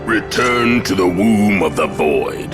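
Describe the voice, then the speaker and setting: Sinister void-obsessed game villain, extremely slow, grandiose and gravelly, swelling theatrically over loud music. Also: male voice, full character voice. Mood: gloating, ominous. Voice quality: deep, gravelly. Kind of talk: theatrical video game voice acting.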